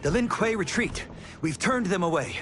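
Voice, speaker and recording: A man's voice: high voice, animated, moderate pace, over music. A man playing a righteous, respectful warrior monk in a game cutscene, formal and firm. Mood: resolute. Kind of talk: dramatic video game cutscene acting. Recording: cinematic score under the voice.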